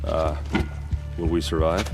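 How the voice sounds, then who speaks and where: An actor playing a brash, hot-headed but good-humored soldier captain in a video game, gruff and blunt. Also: male voice, rumbling low, level tone, slow, loud music behind the voice, full character voice. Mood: willing, uneasy.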